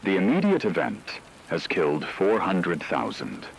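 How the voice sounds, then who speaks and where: A man playing a grave radio anchor who delivers scripted news of a global catastrophe in a solemn, measured, formal way. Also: low voice, huge pitch swings, creaky, a little hiss or hum, heavily acted, rough recording. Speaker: emergency broadcast announcer.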